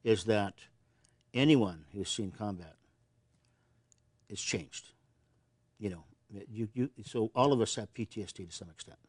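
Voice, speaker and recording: A man's voice: lowish voice, unhurried, pauses often, darkish tone, slightly rough, no echo. A man in his sixties from Montana, a veterans service officer answering interview questions earnestly, often repeating words as he starts a thought.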